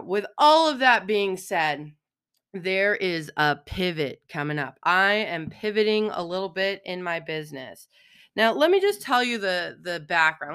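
Woman podcast host, hoarse from allergies, crisp, bright tone, casual and chatty. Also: medium pitch. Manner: chatty, frequent "right?" and "um". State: hoarse, froggy voice. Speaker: podcast host, philosophical counselor. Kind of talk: solo podcast monologue.

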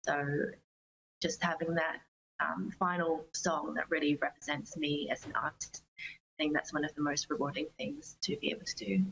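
A woman's voice: deep voice, little pitch movement, normal speed, vocal fry, a bit bright, slight background noise, rough recording. A woman with an Australian accent, chatting casually and earnestly as an enthusiastic interview guest. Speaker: interview guest, singer-songwriter.

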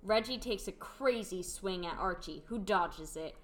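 A woman's voice: mid-range voice, unhurried, faint music behind. A woman narrating the scripted stage directions of an amateur radio play.

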